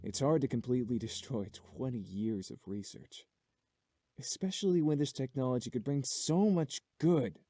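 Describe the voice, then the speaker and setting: An actor playing a middle-aged scientist father in a game, solemnly confessing to his son in measured, remorseful speech. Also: medium pitch, mellow, no room sound, studio quality, lightly acted. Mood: grave, earnest. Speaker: game character in recorded message. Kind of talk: dramatic visual novel acting.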